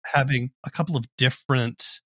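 A man with a measured, thoughtful way of talking, hosting a conversational podcast interview. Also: mid-range voice, fairly even intonation, fairly bright tone, slightly rough, dry recording.

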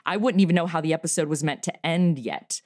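A woman's voice: low-pitched, quick pace, a little mellow, dry recording, clean studio sound. A woman in her forties, a comedy podcast creator, talking enthusiastically in an interview and laughing easily. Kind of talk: interview conversation.

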